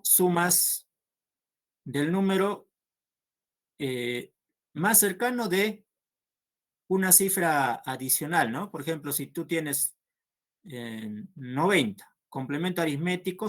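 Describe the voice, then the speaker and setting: Male math teacher, unhurried and patient, talks students through each step. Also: on the high side. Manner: slow and patient, checks understanding with "¿no?". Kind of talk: online math class, solving problems aloud.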